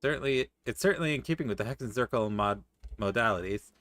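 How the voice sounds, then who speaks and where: A man's voice: medium pitch, lively intonation, fast, fairly bright tone, no room sound. A man giving casual gameplay commentary as he streams a video game.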